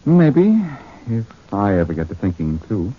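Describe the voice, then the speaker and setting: A man's voice: fairly low pitch, big pitch swings, a little slow, slightly rough, heavily acted. An actor playing a breezy, wisecracking, easygoing male adventurer in 1940s radio drama style. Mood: teasing. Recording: muffled, noisy 1940s radio recording.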